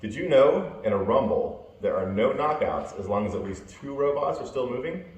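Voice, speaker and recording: A man's voice: medium pitch, animated, moderate pace, a little mellow, a little hiss or hum. A man in his thirties reading a children's book aloud in a bare room. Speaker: robot combat team member. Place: large bare concrete-floored room. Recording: echoey room.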